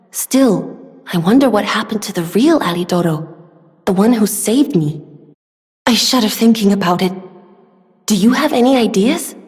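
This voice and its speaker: A young woman voicing a gentle, earnest, polite weapons smith in a video game, soft-spoken and given to sighs. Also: a little high, lightly acted. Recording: long hall reverb.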